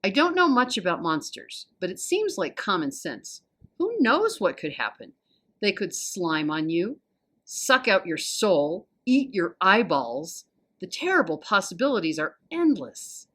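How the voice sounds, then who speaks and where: An American woman narrates a children's novel in an expressive read-aloud style. Her voice is a little low, and her pitch rises and falls in a very lively way. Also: unhurried. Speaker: volunteer storybook reader.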